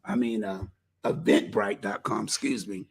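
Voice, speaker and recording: Gravelly host losing his voice. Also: on the low side, moderate pace. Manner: folksy, preacherly, animated exhortation. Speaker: community talk show host. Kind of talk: casual solo talk show promotion.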